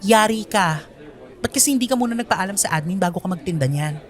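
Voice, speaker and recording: A woman's voice: mid-range voice, lively intonation, fast talker, a faint crowd, natural voice. A young woman with a Filipino accent acting a nosy, teasing, complaining college best friend in a radio drama, full of exclamations. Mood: flustered, nosy.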